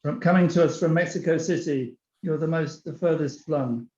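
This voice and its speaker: Woman moderator, very low voice, brief welcoming hand-offs, echoey room, dull video call audio. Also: normal speed, darkish tone. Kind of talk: moderating an online panel.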